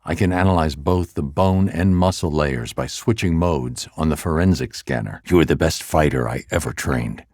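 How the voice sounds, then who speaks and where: A grim, brooding vigilante detective for a game, with a voice that sits extremely low and rumbles. He talks in a clipped, methodical way, recorded close and dry in a studio. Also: moderate pace, few pauses, a little mellow, dry recording. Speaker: video game character. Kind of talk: game voice acting. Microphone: close studio mic.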